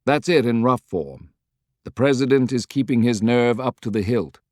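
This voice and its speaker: A man's voice: medium pitch, moderate pace, a little mellow, dry recording, clean studio sound, lightly acted. A man voicing a loyal, good-humored adviser in an audiobook, briefing frankly and earnestly. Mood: confiding.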